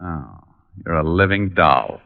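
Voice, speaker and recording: An actor playing a sardonic private detective in a vintage radio drama, with an American accent and glib, dry wit. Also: male voice, sits low, slow pace. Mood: playful, glib. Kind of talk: 1950s radio drama acting and noir narration. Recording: muffled vintage radio recording, music.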